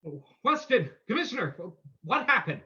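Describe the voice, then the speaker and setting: A man playing a composed, shrewd gentleman detective with a dry, decisive manner in an old-time radio play. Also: extremely high pitch, big pitch swings, moderate pace, dull audio, full character voice. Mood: urgent.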